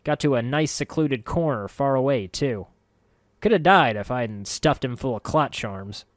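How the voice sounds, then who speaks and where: A man's voice: medium pitch, expressive, normal speed, no echo, studio quality, natural voice. Talkative male skeleton, blunt and offhand; male narrator voices him. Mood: gruff.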